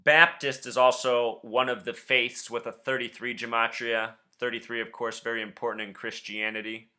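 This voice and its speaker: A man's voice: medium pitch, flat delivery, moderate pace, pushed and bright, clear, resonant tone. A man with an insistent, suspicious manner, speaking as an online host and caller in conspiracy commentary. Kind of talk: conspiracy commentary and confrontational phone questioning.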